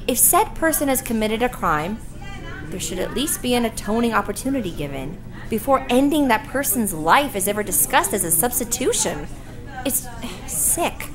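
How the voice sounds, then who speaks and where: A woman's voice: a little high, big pitch swings, moderate pace, over music. A woman playing a passionate, principled young girlfriend in an audio drama. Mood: indignant.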